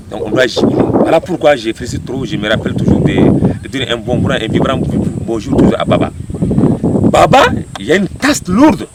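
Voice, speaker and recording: A man from Mali giving an interview outdoors, talking expressively with repeated words and restarts. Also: mid-range voice. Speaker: interviewee, local community figure. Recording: loud wind and outdoor noise.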